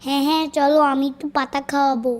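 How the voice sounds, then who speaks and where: A performer playing a gentle, earnest young cartoon boy. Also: high voice, little pitch movement, fast talker, faint music behind, close to an everyday voice. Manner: earnest, plaintive. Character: gentle young boy who loves his goat, tearful. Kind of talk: cartoon voice acting.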